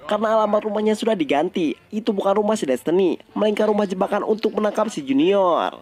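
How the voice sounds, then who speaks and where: A man's voice: high voice, fast talker, darkish tone. A man with an Indonesian accent narrating a story recap in a casual, colloquial storytelling style.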